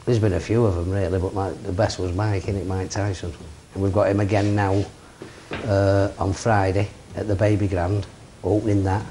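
Plain-spoken promoter, deep voice. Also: male voice, flat delivery, normal speed, warm tone, slight background noise, rough recording. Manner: plain-spoken, rambling lists. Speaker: boxing promoter. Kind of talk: seated interview. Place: office with framed memorabilia. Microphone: lapel.

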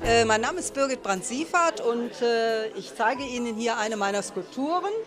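A woman's voice: fairly high pitch, expressive, moderate pace. An older woman, a sculptor, explaining her work thoughtfully into a handheld mic at a gallery opening. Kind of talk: interview statement. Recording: crowded gallery with music. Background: music and crowd chatter.

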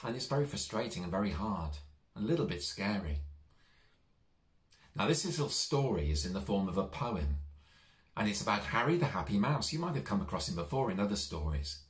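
Children's storyteller: man, middle-aged, lowish voice with wide melodic range, echoey room. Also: fairly quick. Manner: gentle, reassuring, expressive. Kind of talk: children's story reading, direct address to young listeners. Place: small child's bedroom, indoors.

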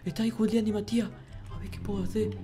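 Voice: medium pitch, level tone, fast talker, music in the background, close to an everyday voice. A performer voicing a naive, easily frightened childlike boy in a Minecraft roleplay, whiny and stammering as words repeat. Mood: nervous, hushed. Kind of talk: improvised in-character gaming roleplay.

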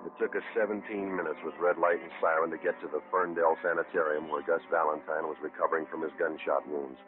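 Very deep-voiced, clipped American police detective. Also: male voice, quick pace, loud music behind the voice. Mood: deadpan. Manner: deadpan, clipped, matter-of-fact. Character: terse, dogged male police detective. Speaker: lead actor and narrator of a police radio drama. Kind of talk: 1950s radio acting, clipped procedural narration. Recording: tinny old radio broadcast recording.

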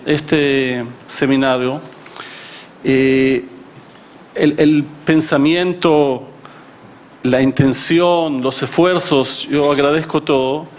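A man in his fifties, born in Chile, speaks slowly at a conference table in a fairly high voice, hesitating and restarting as he searches for words. Faint room noise sits behind the gooseneck mic. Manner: halting, many fillers and restarts. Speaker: guest speaker. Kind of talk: formal speech, partly improvised. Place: conference hall, seated at panel table.

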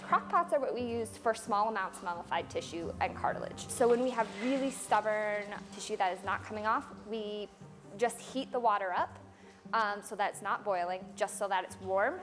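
A woman lab worker walks through cleaning procedures in practical, step-by-step terms. Her pitch sits in the middle, with music playing beneath her. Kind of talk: interview answers. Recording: interview with music underneath.

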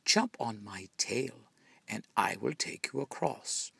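A sly, well-mannered fox from a storybook, read by a man. He coaxes politely in a gravelly, husky voice, recorded dry. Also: lowish voice, animated, slow pace, fairly bright tone, no echo, exaggerated voice. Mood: coaxing. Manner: polite, coaxing. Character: sly polite fox luring his prey.

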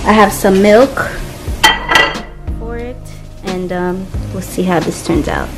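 Slow-talking young woman in a kitchen. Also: mid-range voice. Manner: relaxed, unhurried, with fillers. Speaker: cooking vlogger. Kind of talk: casual cook-along narration. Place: home kitchen indoors. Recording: loud background music.

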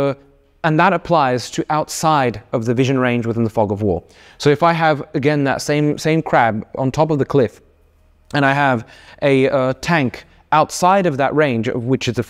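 A man, a game developer answering interview questions in a relaxed, filler-heavy way while gesturing. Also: mid-range voice. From Australia. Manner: explains with lots of fillers, restarts and hand gestures. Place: indoor office studio.

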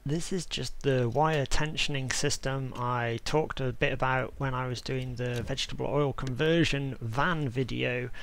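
A man's voice: mid-range voice, steady tone, unhurried, creaky, hardly any pauses, fairly bright tone. A man giving a conversational, explanatory DIY voice-over in an understated, informal way. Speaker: DIY video maker and narrator.

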